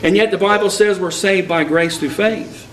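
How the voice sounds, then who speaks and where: Male preacher from Texas, higher voice, emphatic sermon delivery, roomy echo, distant mic. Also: fairly even intonation, normal speed, fairly bright tone, slight background noise. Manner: emphatic, rising preaching cadence. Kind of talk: sermon with personal storytelling. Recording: echoey hall picked up from a distance.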